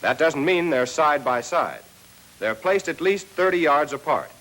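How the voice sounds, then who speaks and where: An American man narrating a 1940s military training film, reading a scripted lesson and addressing trainees directly with clear instructions. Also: on the high side, expressive, measured pace, a bit bright, low-quality recording.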